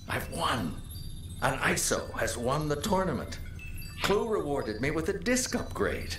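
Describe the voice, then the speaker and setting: A man's voice: a little high, big pitch swings, unhurried. An actor playing an earnest, ambitious male computer program in a video game, speaking a reflective, dramatic private log. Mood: elated. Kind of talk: video game audio diary monologue. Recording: echoey, with music behind.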